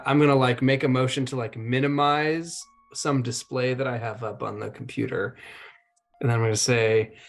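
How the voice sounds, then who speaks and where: A man playing a tabletop roleplaying game, moving casually between describing his actions and speaking in character, in a gravelly, husky voice. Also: mid-range voice, fairly bright tone.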